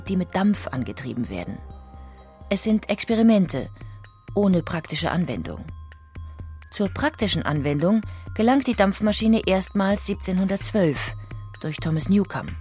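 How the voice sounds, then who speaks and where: A woman's voice: on the low side, mellow. A woman reading a scripted documentary narration as an audiobook narrator.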